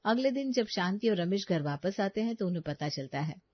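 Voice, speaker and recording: A woman narrates a cartoon story from a script. Her pitch is medium, and the audio is dry and a little dull. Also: lively intonation, a little fast, dry recording.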